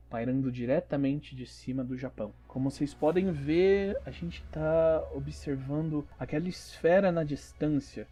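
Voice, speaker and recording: Man playing an earnest, mellow space-station scientist. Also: medium pitch, breathy edge, close to an everyday voice. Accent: Brazilian. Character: polite male scientist reporting live from space station.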